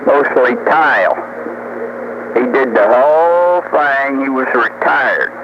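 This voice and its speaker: A man's voice: medium pitch, expressive, slow. An older man in a rambling, folksy, boastful chat over CB radio, often throwing out rhetorical questions. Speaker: storyteller on CB radio. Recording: noisy muffled CB radio tape.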